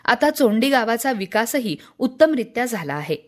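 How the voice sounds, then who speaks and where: A woman presents a scripted radio newsreel in a formal, measured manner. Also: fairly high pitch, sing-song. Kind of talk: scripted radio news narration.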